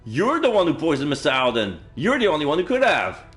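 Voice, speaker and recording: An alarmed young protagonist facing a killer, voiced by a man reading along. The voice is pushed up in pitch and exasperated, a dramatic visual novel read over faint music. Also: wildly swooping pitch, fairly quick, fairly bright tone. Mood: accusing, exasperated.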